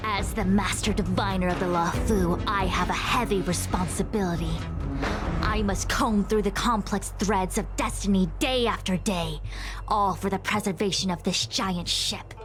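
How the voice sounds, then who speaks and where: A woman voicing a solemn, fatalistic master diviner in a game, speaking in a measured, lecturing way. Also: medium pitch, close to an everyday voice. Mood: stern, burdened. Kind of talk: scripted game voice acting. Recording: background music under the voice.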